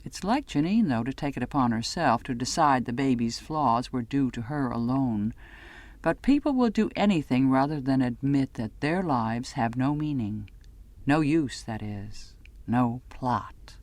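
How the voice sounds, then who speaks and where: A woman reading scripted first-person literary fiction as an audiobook narrator, with measured, dramatic phrasing. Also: very deep voice, expressive, darkish tone, no room sound.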